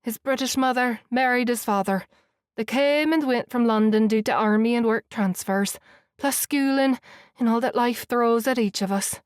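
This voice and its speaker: A motherly middle-aged housekeeper with a Scottish lilt, chatty and doting, bustling about her guest, as a woman reading an audiobook puts her on. The voice sits high and lifts up brightly. The sound is close and dry. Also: normal speed, clear, resonant tone, no echo, close to an everyday voice. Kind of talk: audiobook character voice.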